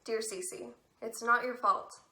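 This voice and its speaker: A young woman playing a wry, tender teenage girl in a stage drama of spoken letters, with a slight creak in her voice. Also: a little high, steady tone, slow pace, bright, forceful tone, a little hiss or hum, exaggerated voice. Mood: quiet, sad, tender.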